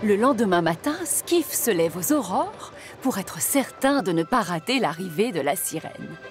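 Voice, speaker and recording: Mid-pitched children's cartoon narrator. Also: female voice, sing-song, moderate pace, music in the background. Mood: storytelling. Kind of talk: scripted story narration.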